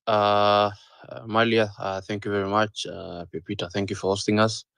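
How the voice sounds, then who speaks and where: A man in his thirties with a Kenyan accent, speaking earnestly in a panel discussion, often pausing on "uh" and repeating his points. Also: fairly low pitch, little pitch movement, vocal fry, a bit bright, clear, resonant tone, dry recording. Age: 30s. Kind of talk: impassioned discussion, mixing English and Swahili.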